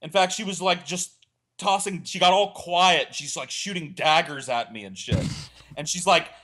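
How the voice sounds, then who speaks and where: A man's voice: a little high, moderate pace. A man telling a crude, rambling comic story on a casual podcast, acting out what was said. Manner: rambling, crude, animated storytelling with acted-out quotes. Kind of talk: casual comedic anecdote.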